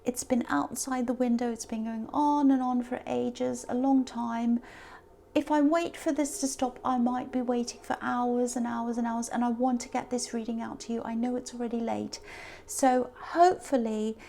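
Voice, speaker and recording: Woman tarot reader, high and gravelly, voice hoarse and sore, chatty and rambling. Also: level tone, normal speed, dark tone. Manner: chatty and meandering, speaking straight to the viewer. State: hoarse, sore voice from talking a lot. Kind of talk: casual tarot reading to camera.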